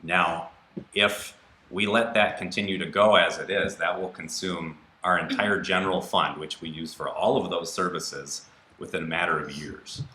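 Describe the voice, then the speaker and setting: A man's voice: low voice, steady tone, normal speed, pushed and bright. An American man giving a candidate's answer at a public forum, recorded in a studio. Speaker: city council candidate. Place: local TV studio. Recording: roomy studio, faint background noise.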